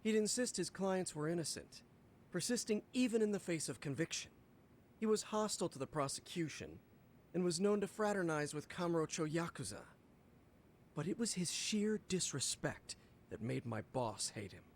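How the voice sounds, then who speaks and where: A game prosecutor whose fairly high voice rises and falls with lively, sarcastic emphasis. He talks down to the defense with haughty condescension. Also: normal speed, slight background noise. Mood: condescending.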